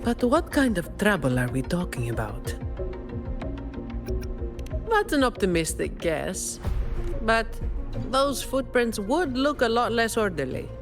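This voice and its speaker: Composed, commanding fighter, swooping intonation. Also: female voice, fairly high pitch, very animated, a little slow, pauses often, over music. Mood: analytical. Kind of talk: video game voice acting.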